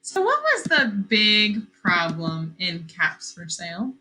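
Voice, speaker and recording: A woman teacher reading a story aloud to young children, expressive and questioning, repeating phrases for emphasis. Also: medium pitch, slow, a little hiss or hum, poor audio.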